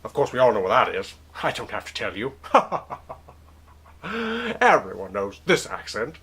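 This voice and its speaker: A man's voice: medium pitch, huge pitch swings, a little slow, bright, forceful tone, slightly rough. A man hosting a comedic webcam interview in a put-on accent, mock-formal and rambling, often questioning himself.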